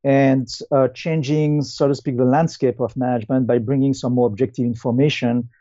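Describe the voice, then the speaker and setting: A man in his fifties with a French accent answers interview questions conversationally, in a hesitant, halting way with many pauses. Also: medium pitch, fairly even intonation, warm tone, no room sound, muffled recording. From France. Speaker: guest, company founder and CEO.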